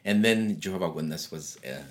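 A man's voice: sits low, moderate pace. A middle-aged man from Eritrea, whose first language is Tigrinya, chatting easily in an interview and telling stories about his home with restarts and laughter. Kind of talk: casual conversational interview.

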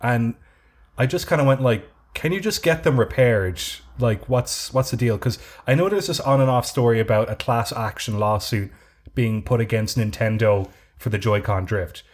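A man chatting casually on a podcast, telling a drawn-out story with many restarts. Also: mid-range voice. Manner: rambling storyteller with frequent restarts. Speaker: podcast co-host. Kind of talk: casual chat.

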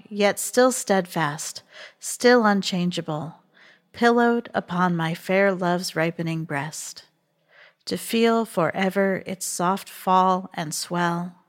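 A woman reciting a poem with measured, deliberate phrasing. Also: fairly low pitch. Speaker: poetry reader. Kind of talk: poetry recitation.

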